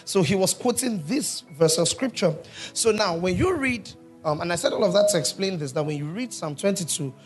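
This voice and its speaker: A male pastor with a slightly raised pitch teaches through a sermon, stopping often to ask his congregation questions. Soft music sits faintly behind his voice. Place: church hall with congregation.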